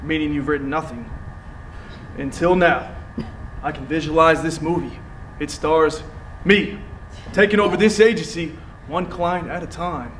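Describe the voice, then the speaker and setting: A man's voice: on the high side, unhurried, natural voice. Actor as a cocky young ad man, distant recording. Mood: boastful. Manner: smug, self-assured. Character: cocky, ambitious young male advertising man. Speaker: stage actor. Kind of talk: live stage play acting. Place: theatre stage, recorded from far off. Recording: distant roomy theatre recording, faint noise.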